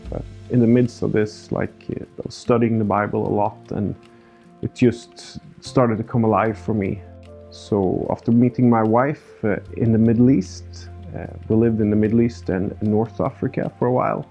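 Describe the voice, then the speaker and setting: A man's voice: on the low side, fairly even intonation, normal speed, warm tone, faint music behind. A Swedish man in his thirties with a Swedish accent and native Swedish, a pastor speaking earnestly in a personal documentary interview. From Sweden, countryside. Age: 30s. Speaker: pastor and church planter.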